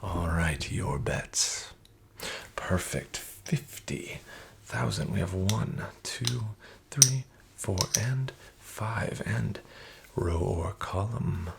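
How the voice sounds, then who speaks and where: Male casino dealer, soft and half-whispered, gravelly and lilting, ingratiating. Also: sits low, drawn-out words, background noise. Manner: soft-spoken, half-whispered, smooth and flattering salesman patter. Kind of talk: soft-spoken ASMR roleplay.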